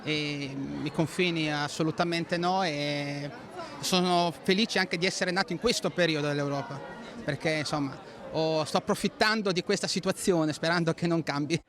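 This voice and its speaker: A man's voice: on the high side, fairly quick. A man in his forties from Urbino giving an earnest, decisive street interview answer. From Urbino, Italy. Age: 40s. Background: crowd chatter.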